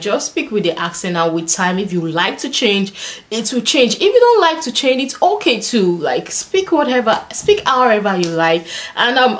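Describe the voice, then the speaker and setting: A young woman with a Nigerian accent, talking casually to camera in a small bedroom, chatty and animated, with lots of "like" and "and stuff.". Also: mid-range voice, a little hiss or hum. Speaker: vlogger.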